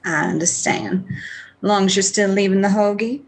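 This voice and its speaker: A woman's voice: mid-range voice, fairly even intonation, normal speed, close to an everyday voice. An actress playing a wry, savvy female prison guard, bantering dryly in a radio play. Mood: matter-of-fact, friendly. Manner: dry, bantering.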